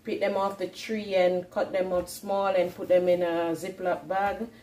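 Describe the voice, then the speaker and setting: A woman's voice: fairly low pitch, level tone, measured pace, dark tone. A woman hosting a cooking vlog, giving a casual recipe demonstration in a home kitchen. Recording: roomy kitchen echo with faint hiss.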